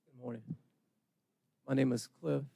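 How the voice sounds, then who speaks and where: Gravelly, husky man in his forties. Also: medium pitch, fairly even intonation, measured pace, dark tone, no echo. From Charleston, South Carolina. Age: 40s. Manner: casual, earnest, uses ums. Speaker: panelist. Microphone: handheld microphone.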